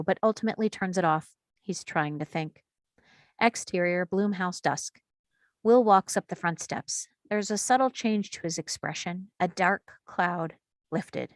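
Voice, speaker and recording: A woman's voice: fairly low pitch, no echo. A woman reading scripted screenplay action and scene headings as a narrator at a table read. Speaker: stage directions reader.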